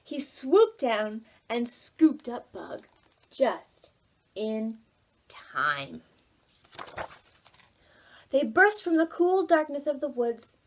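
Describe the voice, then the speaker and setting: A woman reading a children's storybook aloud for preschoolers, dramatic and expressive, involving the young listeners. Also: fairly high pitch, very slow, long pauses. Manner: animated, dramatic, asks listeners questions. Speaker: children's library storytime reader.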